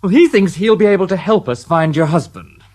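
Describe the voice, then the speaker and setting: An actor playing a weary, dry-witted police detective inspector in a radio drama. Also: male voice, sits high, animated, fairly quick, a little mellow. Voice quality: dry, understated, wry.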